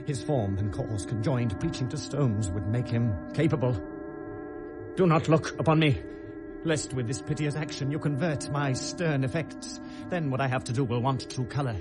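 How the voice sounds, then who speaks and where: An actor playing an anguished, accusing young prince in 1970s British classical radio drama, declaiming verse in a Received Pronunciation accent with feverish intensity. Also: male voice, mid-range voice, normal speed, over music, close to an everyday voice. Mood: horrified, frantic. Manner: declamatory, impassioned, theatrical.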